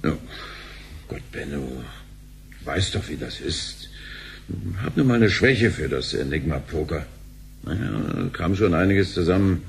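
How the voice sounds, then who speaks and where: A man playing an excitable, chummy businessman in a radio drama, with a theatrical way of talking. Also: sits low, measured pace, creaky, pauses often, a little hiss or hum, exaggerated voice. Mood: agitated. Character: jovial, excitable sidelined businessman with gambling weakness. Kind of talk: conversational radio drama acting.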